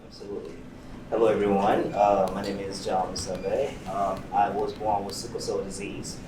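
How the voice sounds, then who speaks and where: Lower-voiced man amid noise and echo. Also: flat delivery, normal speed. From Uganda-born, raised in Seattle. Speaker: panelist, patient advocate. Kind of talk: unscripted panel self-introduction. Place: indoor event venue, panel talk. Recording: echoey room, distant mic, clear background noise.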